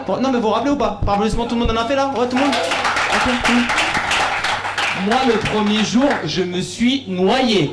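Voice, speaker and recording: A young man doing live stand-up, slangy and repetitive, bantering with the audience. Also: very high-pitched. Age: young adult. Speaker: stand-up comedian. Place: small bar comedy club. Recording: live club with loud audience. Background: loud crowd reactions.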